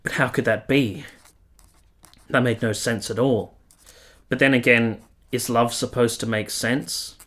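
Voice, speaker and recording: Guy acting as conflicted romantic gentleman in a period radio play, medium pitch, earnest, measured and literary. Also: steady tone, close to an everyday voice. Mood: fervent, reflective. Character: Giorgio, lovestruck, conflicted 19th-century Italian man, confessional narrator. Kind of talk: radio drama narration and intimate dialogue.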